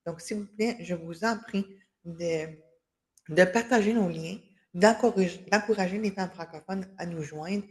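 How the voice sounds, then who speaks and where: A woman's voice: lowish voice, measured pace. Hesitant woman hosting a video webinar. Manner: hesitant, many fillers and restarts. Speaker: webinar host for a women's enterprise organization. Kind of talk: closing remarks of an online workshop. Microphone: video call.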